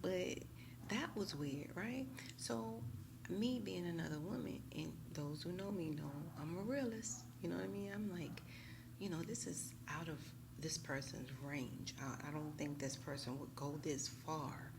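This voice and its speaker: An American woman talking casually and emphatically to her viewers in a slangy, animated storytime style, often checking in with them. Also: lowish voice, measured pace, gravelly, noisy background. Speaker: YouTube tarot reader.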